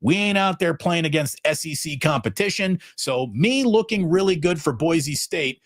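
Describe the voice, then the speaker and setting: A man's voice: fairly high pitch, lively intonation, moderate pace, no room sound, studio quality. Punchy, opinionated sports talk man. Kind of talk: sports analysis chat.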